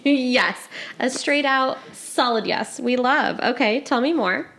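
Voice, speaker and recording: A woman's voice: sits high, takes it slowly, fairly bright tone. A young adult woman co-hosting a podcast, chatty and giggly, often laughing at herself. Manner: giggly, chatty, self-deprecating. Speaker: podcast co-host. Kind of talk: casual chat.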